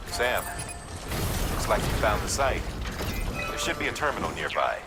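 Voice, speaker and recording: An actor playing a businesslike, encouraging male mission handler in a video game, giving clear instructions. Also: fairly low pitch, sing-song, moderate pace, loud music behind the voice. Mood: encouraging, instructive. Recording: loud background noise.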